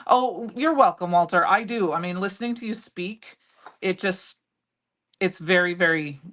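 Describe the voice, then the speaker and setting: A middle-aged woman with a loud voice, chatting and rambling as she demonstrates a craft project live and answers viewers by name. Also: on the low side, normal speed. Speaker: crafting livestream host. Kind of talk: casual chat while demonstrating crafts.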